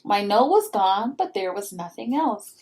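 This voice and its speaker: A woman's voice: mid-range voice, big pitch swings, a little slow, darkish tone. A woman voicing a dramatic little girl who craves sweets and tells her own storybook tale with exaggerated emphasis. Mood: disappointed.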